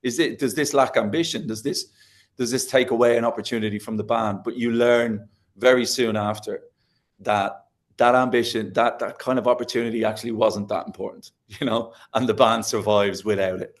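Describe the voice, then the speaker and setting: A man's voice: medium pitch, steady tone, normal speed. A man on a music-industry panel talking reflectively about career choices. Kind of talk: panel discussion.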